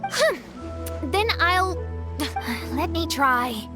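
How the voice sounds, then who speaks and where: A woman's voice: very high-pitched, big pitch swings, extremely slow, music in the background, full character voice. An actress playing an imperious young girl with grandiose airs in a video game, haughty and melodramatic. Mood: questioning. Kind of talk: video game cutscene acting.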